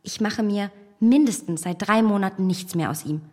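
An actress playing a self-possessed, impatient young gentlewoman in a period radio drama, polite, articulate and insistent. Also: medium pitch, normal speed, big-room sound, close to an everyday voice. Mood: earnest, composed.

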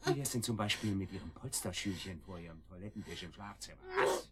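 A man's voice: sits low, fast, heavy background noise, close to an everyday voice. An actor playing a sadistic, cruelly playful kidnapper in a radio drama, mocking and menacing as he talks. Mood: mocking cruelty. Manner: mocking, menacing, chuckling, taunting.

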